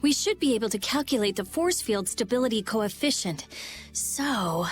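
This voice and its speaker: A woman voices a prickly teenage mage from a video game. She is high and highly expressive, blunt and impatient, with faint music underneath. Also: moderate pace, natural voice. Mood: absorbed. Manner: blunt, snappy, absorbed. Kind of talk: animated video game acting.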